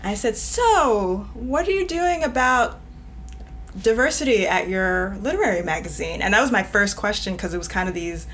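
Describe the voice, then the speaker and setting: Direct woman from New York, guest on a casual podcast, quick laughs, light hiss behind. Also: medium pitch, expressive, fairly bright tone. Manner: direct, laughs often while talking. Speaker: guest editor.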